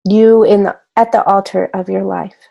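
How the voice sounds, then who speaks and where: A woman leading a guided meditation in a gentle, soothing way, unhurried and pausing between short phrases. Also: medium pitch, fairly even intonation, vocal fry, lo-fi sound.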